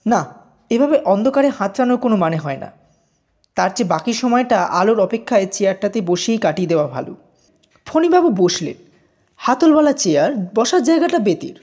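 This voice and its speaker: A woman narrates a suspenseful audio story in a high, husky voice. Her pitch moves in lively, dramatic swings as she tells it. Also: brisk. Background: music under the voice.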